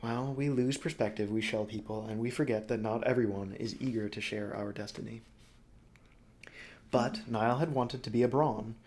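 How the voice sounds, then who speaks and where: A man narrating a story as an audiobook reader, expressive and dramatic, phrasing deliberately. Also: on the low side.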